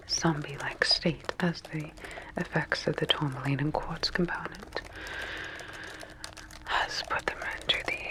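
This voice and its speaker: A woman acting as a sinister female scientist at a sleep clinic, whispering very slowly in a breathy ASMR style. She is calm and detached, narrating her procedures like clinical notes in a sci-fi horror roleplay. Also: low voice, rough recording. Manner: slow, clinical, detached, measured.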